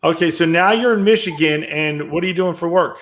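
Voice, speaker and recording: High-pitched upbeat male host. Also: brisk, a bit bright. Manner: upbeat, chatty, filler-heavy. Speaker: host, real estate business owner. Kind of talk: interview hosting.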